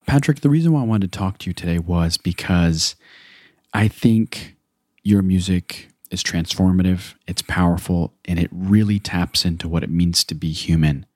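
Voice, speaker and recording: A male podcast host reading a measured, scripted introduction and then asking his guest questions. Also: deep voice, no room sound, studio quality. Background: background music.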